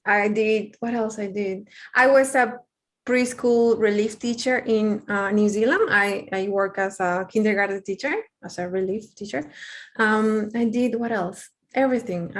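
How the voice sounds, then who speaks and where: A young woman from Peru speaks English with a Peruvian Spanish accent, her voice sitting at a middle pitch. She talks candidly and loosely as an interview guest, circling back with fillers and restarts. Spanish is her first language. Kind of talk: casual interview.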